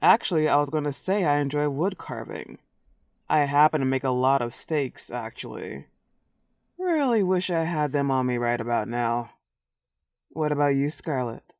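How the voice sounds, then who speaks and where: A woman's voice: very deep voice, expressive, moderate pace, darkish tone, dry recording. A werewolf girl, acted by a woman, recorded very dry. She is cocky and possessive, leaning on her words with playful, taunting emphasis, as in a comedic roleplay audio drama.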